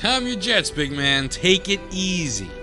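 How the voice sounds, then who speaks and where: A man's voice: sits high, sing-song, unhurried, over music. A man playing a wary, tough-talking inmate in an audio drama, blunt and sardonic. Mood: alarmed, defiant. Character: wary, tough-talking foul-mouthed male new asylum inmate.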